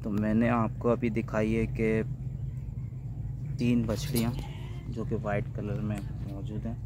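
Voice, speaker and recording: A Pakistani man presents farm animals in a casual, halting way, in short phrases. His voice is on the low side and very flat in pitch. It is recorded on a handheld device in an open yard, with clear noise and animal sounds behind him. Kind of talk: casual livestock showcase narration. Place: open tented cattle yard.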